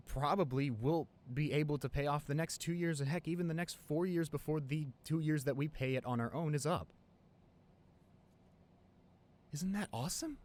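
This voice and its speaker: A man's voice: mid-range voice, natural voice. A man playing a devoted, hopeful college boyfriend in an improvised ASMR roleplay, talking earnestly and affectionately to a partner. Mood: excited, hopeful. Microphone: close studio condenser microphone.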